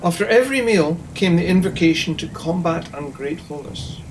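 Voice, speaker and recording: A man's voice: fairly high pitch, expressive, a little fast, noisy background. An older man from Scotland reading a literary story aloud as a narrator. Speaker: author and guest story reader.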